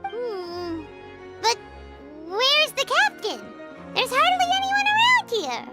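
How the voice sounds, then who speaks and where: Very high, childlike fairy sidekick. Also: female voice, huge pitch swings, slow pace, loud music behind the voice, full character voice. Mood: curious, chatty. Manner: speaks of self in third person, exclamatory. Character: small excitable floating fairy companion, childlike, chatty. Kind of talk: video game character dialogue.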